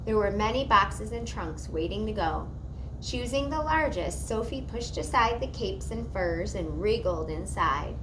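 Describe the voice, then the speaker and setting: A woman reading a children's story aloud in an expressive narrating style. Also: mid-range voice, noisy background.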